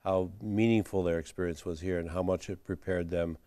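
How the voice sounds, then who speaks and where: A middle-aged man with a very low voice speaks in a measured, formal register, pausing on fillers as he builds long answers. The sound is dry and close, with no room around it. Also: fairly even intonation, warm tone, no echo. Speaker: college administrator guest. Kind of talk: studio interview answers.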